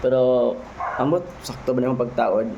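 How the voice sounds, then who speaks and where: A Cebuano-accented Filipino man talks casually to his vlog viewers. His voice is creaky and gravelly, and he thinks aloud in short phrases, checking in with little questions. The recording has clear background noise. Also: medium pitch, fairly even intonation, normal speed, dull audio. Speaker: motovlogger, host. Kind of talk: casual unboxing and product feedback vlog chat.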